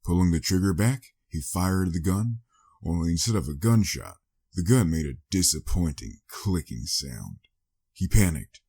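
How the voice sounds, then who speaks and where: A man's voice: low voice, sing-song, moderate pace, gravelly, dark tone, breathy edge. A man reading a scripted story as a dramatic audiobook narrator.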